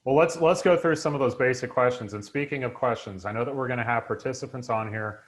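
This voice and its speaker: A man's voice: medium pitch, fast. Upbeat man hosting a webinar. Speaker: host. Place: home room indoors, video call.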